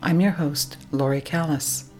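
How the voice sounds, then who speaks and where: Woman, radio host, low voice, formal announcing, soft music behind. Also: fairly even intonation, measured pace, low-quality recording. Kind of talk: scripted radio introduction.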